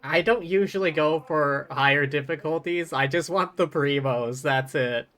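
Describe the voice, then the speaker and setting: A man's voice: a little high, moderate pace, fairly bright tone. A man doing casual, chatty game commentary as a live streamer, easygoing in manner.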